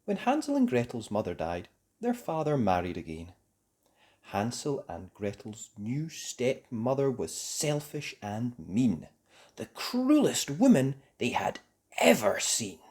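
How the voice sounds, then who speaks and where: A children's story reader narrating a fairy tale. The delivery is theatrical and emphatic, with very lively rises and falls in pitch. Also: takes it slowly, husky. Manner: theatrical, emphatic for young listeners. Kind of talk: expressive scripted storybook narration.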